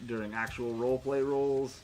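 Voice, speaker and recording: A man's voice: medium pitch, flat delivery, normal speed, darkish tone, rough recording. A man acting as game master in a casual tabletop session, narrating and bantering with a joking, foul-mouthed manner.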